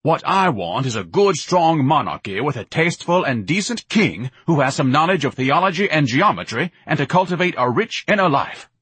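A man's voice: a little high, big pitch swings, moderate pace, few pauses, fairly bright tone, slightly rough, no echo, voice pushed up, full character voice. A male narrator plays a lazy, pompous grown son in a comic audiobook. He declaims in grandiloquent, indignant style, haughty and theatrical, ready to bellow at any slight. The recording is close and dry. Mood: haughty, exasperated.

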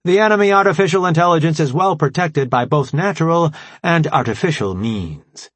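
A man voicing a formal, logical artificial intelligence robot leader in an audiobook, explaining things in precise, measured language. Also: a little high, no room sound, voice pushed up, close to an everyday voice. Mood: measured, resigned. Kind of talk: audiobook character dialogue.

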